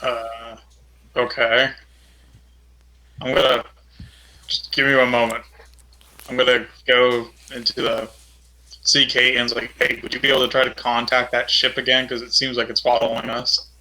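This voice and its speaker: A man speaks in a flat, even pitch with a bright, forceful edge. He is a player in a tabletop role-playing game, talking casually in character. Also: moderate pace, pauses often, rough recording.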